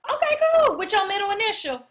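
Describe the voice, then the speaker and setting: A woman's voice: very high-pitched, sing-song, fast, over music. A woman voicing a bossy, casual woman on a phone call, checking details in a matter-of-fact, slangy way. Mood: bossy, businesslike.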